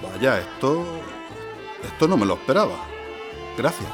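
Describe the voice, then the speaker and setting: Un hombre con acento de España interpretando a un empresario cortés en una ficción sonora. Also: male voice, medium pitch, sing-song, measured pace. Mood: courteous. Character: courteous, surprised businessman merchant. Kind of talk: audio drama acting. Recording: crowd and music behind.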